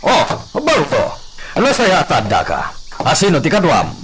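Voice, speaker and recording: A man's voice: a little high, wildly swooping pitch, moderate pace, background noise. A man playing a demanding hacienda patriarch in a radio drama, with an authoritative, probing way of talking. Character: demanding hacienda patriarch, questioning his servant. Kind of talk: Ilocano radio drama acting.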